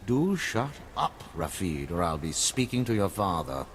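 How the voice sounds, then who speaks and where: An actor playing a pompous, snobbish noble uncle in a video game, haughty, disdainful and formal. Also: male voice, deep voice, very animated, unhurried, a little hiss or hum. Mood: indignant, scolding. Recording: background music under the voice.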